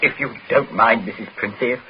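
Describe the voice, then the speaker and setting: An actor in 1940s radio style playing a frightened sea captain, agitated, stammering and polite. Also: male voice, mid-range voice, brisk, close to an everyday voice. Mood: shaken, polite. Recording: noisy, muffled 1940s radio recording.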